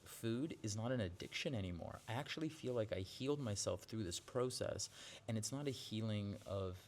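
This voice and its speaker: A man's voice: fairly low pitch, expressive, moderate pace, a little mellow, slight background noise. A man in his forties, a yoga teacher, talking candidly in a casual vlog, with frequent "like"s and restarts. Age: 40s. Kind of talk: casual vlog monologue to camera. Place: outdoors with birds nearby.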